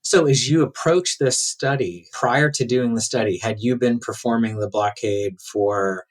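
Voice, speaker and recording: Guy hosting an interview podcast, medium pitch, chatty question-asking style. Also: a little slow.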